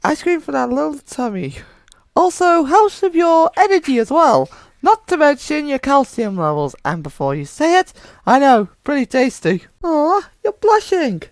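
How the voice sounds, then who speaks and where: A woman's voice: high-pitched, sing-song, normal speed, no echo. A woman voicing a doting, clingy animatronic caretaker who coos and coaxes in baby talk for a comic dub. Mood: coaxing, teasing. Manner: cooing baby talk, coaxing. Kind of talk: amateur comic dub voice acting.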